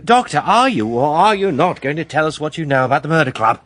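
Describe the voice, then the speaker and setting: Impatient amateur detective in a radio mystery, really animated, dry close sound, bold and sceptical. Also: male voice, on the high side, fast talker, dry recording. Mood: defiant. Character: determined, sceptical male amateur sleuth, impulsive and gallant. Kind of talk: radio detective drama acting.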